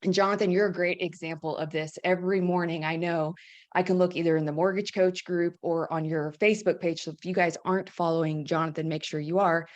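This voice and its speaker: A woman's voice: lowish voice, fairly even intonation, a little fast, a little mellow, dull audio. A woman hosting a webinar, speaking in a conversational, upbeat way with fillers. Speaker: host. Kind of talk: webinar chat.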